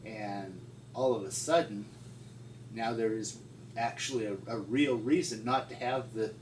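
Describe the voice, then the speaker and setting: A middle-aged man reminiscing informally as an interviewee, in a halting, folksy way. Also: lowish voice, steady tone. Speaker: paleontologist interviewee. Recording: distant mic, echoey room, loud noise.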